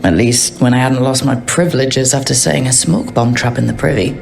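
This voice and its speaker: A voice actor as a gravelly, sarcastic elf rogue. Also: medium pitch, brisk, darkish tone, faint music behind, lightly acted. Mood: reflective. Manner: wry, sarcastic, joking. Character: sarcastic young elf adventurer, reckless rogue.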